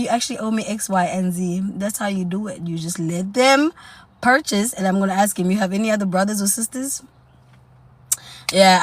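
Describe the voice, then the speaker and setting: A woman's voice: mid-range voice, no room sound. Sassy South African woman live streaming. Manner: sassy, calls viewers honey and girl. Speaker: dating lifestyle live streamer. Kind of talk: casual live stream chat.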